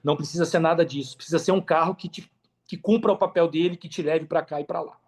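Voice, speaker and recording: Brazilian male podcast guest, high voice, casual and emphatic delivery. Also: steady tone, fast talker. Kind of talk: casual interview talk.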